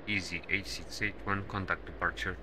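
Male game streamer, deep register, controller phraseology plus asides, over clearly audible engine-like background noise. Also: fairly even intonation, moderate pace. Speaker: streamer playing an air traffic control simulator. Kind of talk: gaming stream commentary with controller radio phraseology.

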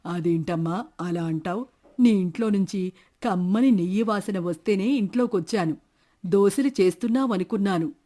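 Stingy, wheedling village freeloader played by a woman, mid-pitched, self-righteous, for a storybook tale. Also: normal speed, dark tone, close to an everyday voice. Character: scheming stingy middle-aged village man, shameless freeloader. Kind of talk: dramatised moral story narration.

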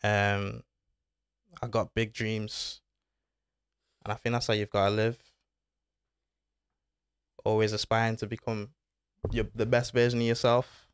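A man's voice: on the low side, little pitch movement, measured pace, long pauses, clear, resonant tone, no echo. A young man, talking casually as a podcast guest, laid-back and full of slang. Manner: laid-back slang-filled talk, says bro and innit. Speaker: guest footballer. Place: small studio with foam panels. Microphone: desk mic on arm.

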